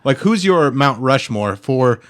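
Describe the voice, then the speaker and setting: A man's voice: medium pitch, lively intonation, unhurried, fairly bright tone. A man hosting a podcast in casual chat, with a loose, informal way of talking. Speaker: podcast host.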